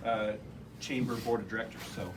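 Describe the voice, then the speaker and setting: A man's voice: on the low side, fairly even intonation, a little fast. Distant Midwestern man emceeing a ribbon cutting. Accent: Midwestern American. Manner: joking then sincere, filler-heavy. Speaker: chamber of commerce representative. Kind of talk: casual ceremony emcee remarks. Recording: distant mic, noisy event room.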